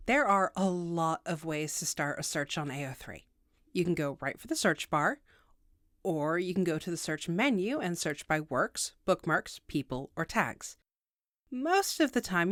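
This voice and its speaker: A woman's voice: on the low side, expressive, normal speed, a bit bright, dry recording, clean studio sound. A woman hosting a video tutorial, reading scripted explainer narration in a precise, instructional way with wry asides.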